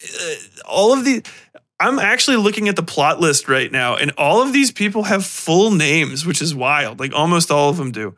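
A man's voice: a little high, sing-song, moderate pace, sharp tone. A man hosting a podcast, rambling through casual film commentary in a profane, exasperated, sarcastic way. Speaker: podcast host.

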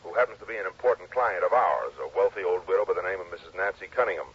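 A quick, slightly low-pitched insurance man, hearty, teasing and cajoling in vintage radio drama style. His husky voice comes through a dull old recording. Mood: persuasive, grave. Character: chummy, persuasive male insurance company man, adult. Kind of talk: 1960s radio drama acting.

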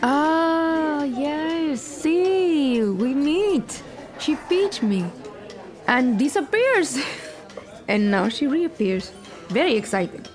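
A woman's voice: sits high, lively intonation, very slow, soft background music, full character voice. A woman playing a grand, imperious, theatrical producer in an audio fiction drama. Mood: imperious, arch.

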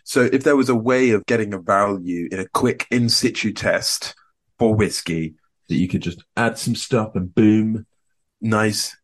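Low-voiced rambling young man. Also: measured pace. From Scotland. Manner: rambling, trails off quietly. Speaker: podcast co-host. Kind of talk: casual chat.

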